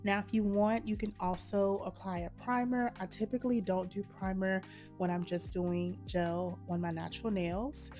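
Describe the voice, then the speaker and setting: A woman with a slightly hoarse, mellow voice explains a nail tutorial in a relaxed, matter-of-fact way. Her tone sits low and warm rather than bright. Quiet music plays faintly behind her. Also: normal speed. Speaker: nail art channel host. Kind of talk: casual step-by-step tutorial narration.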